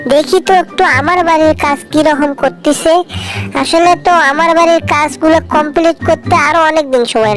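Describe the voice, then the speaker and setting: Woman, high pitch, fan cartoon dub, fretful exclamations, faint music behind. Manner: exclaims often, fretful and scolding. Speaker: fan dubber voicing all cartoon figures.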